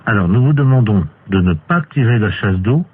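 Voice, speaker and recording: A man playing a hesitant, deadpan male court official in an improvised telephone prank. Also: lowish voice, normal speed. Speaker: prank caller. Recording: tinny phone line with clear hiss.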